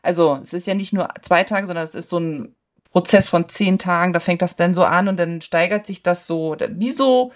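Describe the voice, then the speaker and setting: A German woman who lives in China speaks as a guest, her voice a little on the low side. She tells things in a lively, rambling way, full of filler words. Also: brisk. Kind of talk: casual conversation. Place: China, living abroad.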